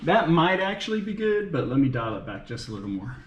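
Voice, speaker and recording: A man's voice: on the high side, sing-song, brisk. A man giving casual, folksy running commentary to himself as he works on a car in a garage. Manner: talks to himself, folksy and playful. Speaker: vlogger working on his car. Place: home garage. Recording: roomy garage echo, clear background noise.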